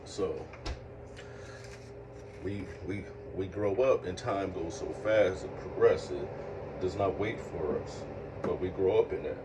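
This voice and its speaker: A man in his late thirties with a very low voice talks earnestly in a reflective monologue, often repeating himself as he works through a thought. He sounds set back from the microphone, and a steady hum of air conditioning and passing vehicles sits clearly behind him. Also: takes it slowly, pauses often, recorded from a distance. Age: 30s. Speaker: speaker sharing life lessons.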